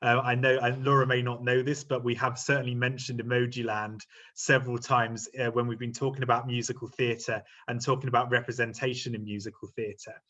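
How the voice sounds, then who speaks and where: A middle-aged man moderates a panel from his home over a video call, his voice sitting in a middle pitch. He talks in a friendly, halting way, full of ums. The sound is slightly dull and muffled. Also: level tone, a bit bright. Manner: friendly, hesitant, many ums and uhs. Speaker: university lecturer hosting a panel. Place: home room.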